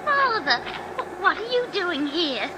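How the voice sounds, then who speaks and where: Little schoolgirl in old radio play, really high voice, eager n easily scared, super noisy recording. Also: sing-song, slow pace, heavily acted. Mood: curious, playful. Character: frightened young schoolgirl, John's daughter, eager and trusting. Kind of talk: 1950s radio drama acting. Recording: noisy 1950s radio broadcast.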